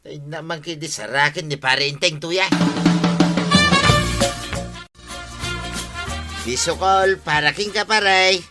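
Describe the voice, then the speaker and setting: A man acting an easygoing, excitable village husband in an Ilocano radio comedy drama, speaking with an Ilocano Filipino accent. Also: a little high, normal speed, loud music behind the voice, close to an everyday voice.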